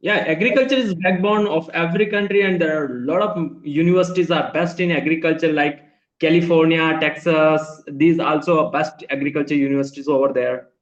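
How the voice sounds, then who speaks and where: Man, Hindi native speaker, earnest polite learner's English, slightly high voice, echoey room, dull lo-fi laptop sound. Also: fairly quick. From India. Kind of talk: casual online conversation lesson. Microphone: laptop microphone on a video call.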